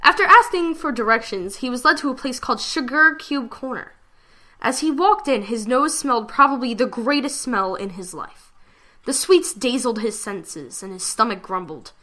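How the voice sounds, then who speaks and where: A woman reads a fan fiction story aloud as a dramatic narrator. Her voice is fairly high and very bright, with an expressive storytelling delivery. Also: moderate pace.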